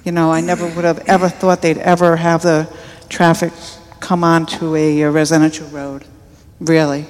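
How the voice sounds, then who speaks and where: A man's voice: on the high side, little pitch movement, normal speed, hardly any pauses. A man, a local resident, speaking at a public hearing in a rambling, apologetic way. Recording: echoey chamber.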